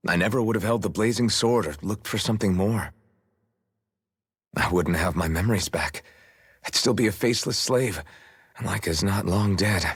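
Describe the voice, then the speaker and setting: An actor playing a weary, sincere young swordsman with a husky voice in a video game cutscene. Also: sits low, long reverb tail.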